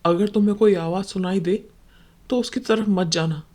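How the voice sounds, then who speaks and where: A solemn village holy man, voiced by a woman, in a horror cartoon story. He speaks deliberately and gravely, like an elder counselling the young. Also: medium pitch, warm tone, close to an everyday voice.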